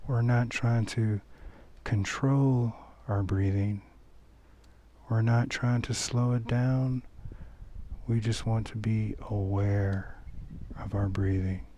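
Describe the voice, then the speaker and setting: A man guides a meditation with a mellow, fairly low voice. He speaks very slowly and gently, giving calm instructions without hurry. He is recorded outdoors. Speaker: meditation teacher.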